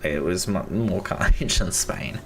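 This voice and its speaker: Young Aussie caster, deep and hoarse, upbeat slangy race calls. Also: male voice, measured pace, slight background noise. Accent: Australian. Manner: enthusiastic, repeats praise, casual slang. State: hoarse, losing his voice. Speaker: esports race commentator. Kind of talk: live race commentary.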